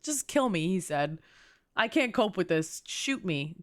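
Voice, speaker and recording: A woman's voice: on the low side, expressive, measured pace, no echo, studio quality. A woman giving casual, slangy, playful live reactions as a game streamer.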